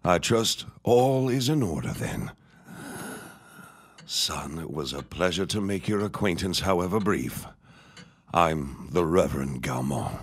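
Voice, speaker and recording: A raspy, menacing old reverend. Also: male voice, sits low, big pitch swings, exaggerated voice. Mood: pompous, menacing. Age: older. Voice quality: husky, raspy. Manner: pompous, deliberate, menacing. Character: menacing old reverend, scheming villain near death. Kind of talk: audio drama acting.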